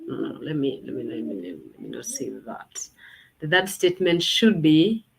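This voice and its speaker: Slow-talking low-voiced woman hosting online. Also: a bit bright, rough recording. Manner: chatty, thinking aloud with restarts. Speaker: host. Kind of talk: casual online event hosting.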